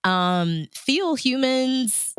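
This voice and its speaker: An American woman telling stories in a casual, conversational way, informal and animated, as a radio DJ being interviewed. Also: high voice, extremely slow, clean tone, no echo, studio quality.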